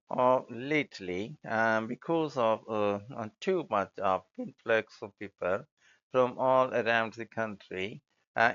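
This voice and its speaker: A man with an Indian accent giving hesitant, halting answers as a candidate in a spoken exam, on a dull recording. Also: mid-range voice, a bit bright, clear, resonant tone. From Mumbai, India. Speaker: speaking test candidate. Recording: dull lo-fi audio.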